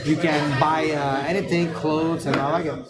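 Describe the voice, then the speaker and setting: A man's voice: fairly high pitch, fairly even intonation, normal speed, over loud music. A man from Quebec whose first language is French, a live streamer in a friendly, casual street chat who sometimes searches for his words. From Quebec, Canada. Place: busy city street at night. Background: loud crowd.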